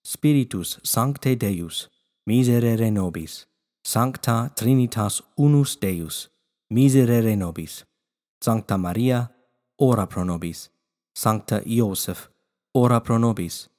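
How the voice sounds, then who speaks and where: A man hosting a prayer podcast, still congested after losing his voice, who moves from quick announcements to a slow, measured litany. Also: lowish voice, a little mellow. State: congested, recovering from lost voice. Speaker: podcast host. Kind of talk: podcast talk and prayer recitation.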